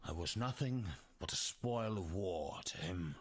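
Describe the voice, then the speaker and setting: A man playing a grim, wary rogue survivor in a video game, speaking in a measured, sardonic way. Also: deep voice, animated. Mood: grim, wry. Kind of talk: video game character dialogue.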